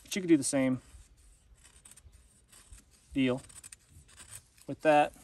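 A man's voice: medium pitch, fairly even intonation, darkish tone. A young man hosting a casual outdoor chat in a wooded yard, easygoing and agreeable. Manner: easygoing, agreeable, lots of quick affirmations. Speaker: channel host. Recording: distant mic with clear outdoor noise.

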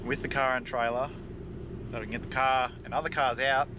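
A man's voice: medium pitch, flat delivery, brisk, muffled recording. A man chatting casually as he hosts an on-location vlog outdoors. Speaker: vlog host. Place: outdoors at a car show loading area. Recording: very noisy outdoor recording with vehicle sounds.

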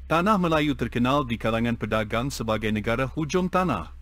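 A man reading an educational text aloud in a measured, even way. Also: medium pitch, no echo.

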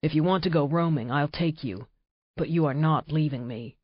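A woman voicing a husky-toned, possessive shapeshifter lover, low and hushed, for an audiobook; dry, slightly muffled sound. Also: moderate pace, no echo. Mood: commanding. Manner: low hushed passionate delivery. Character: intense possessive shapeshifter man, deep-voiced lover.

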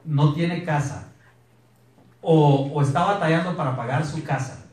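A man in his thirties with a Mexican accent, giving a lively motivational sales talk on a handheld mic and asking the audience questions. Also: a little high, a little slow, distant mic. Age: 30s. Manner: animated, asks the audience questions. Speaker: sales speaker. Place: meeting room in front of a projector screen. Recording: echoey room recorded from afar.

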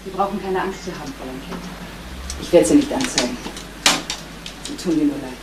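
An actress playing a composed suspect, roomy and distant. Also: female voice, low-pitched, brisk, natural voice. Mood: firm, controlled. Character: twice-divorced, self-possessed fiancée under suspicion. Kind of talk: 1970s German radio crime drama acting. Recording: roomy, distant, noisy old radio recording.

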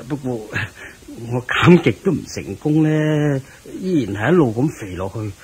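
Obese, good-natured monarch in radio drama, mid-pitched, puffing and sighing through his words. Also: expressive, a little slow, slightly rough. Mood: apologetic. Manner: huffing, sighing, stammering. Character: very fat, flustered, good-natured Indian king. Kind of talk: Cantonese radio drama acting. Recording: noisy radio drama recording.

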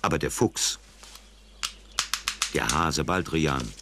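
A man's voice: very deep voice, slow, noisy background. A man narrating a scripted children's story as its storyteller. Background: prominent music.

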